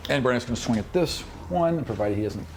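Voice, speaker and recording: A man's voice: mid-range voice, expressive, fast talker, noisy background. A man improvising narration as game master of a tabletop fantasy game, with vivid, dramatic delivery. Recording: music behind the voice.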